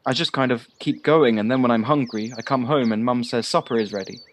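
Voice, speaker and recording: A man's voice: mid-range voice, a little fast, close to an everyday voice. A young man acting a simple, earnest, friendly twenty-five-year-old in an amateur audio drama. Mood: earnest, contented. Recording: faint noise, home-recorded on personal device.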